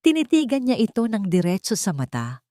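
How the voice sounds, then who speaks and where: A woman with a Filipino accent reading a dramatic story as an audiobook narrator, solemn and expressive with deliberate pauses. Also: mid-range voice, dry recording, clean studio sound.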